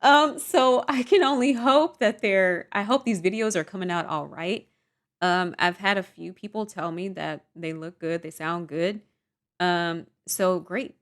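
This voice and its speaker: A young woman vlogger rambling softly and self-deprecatingly through a casual storytime monologue. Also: lowish voice, measured pace.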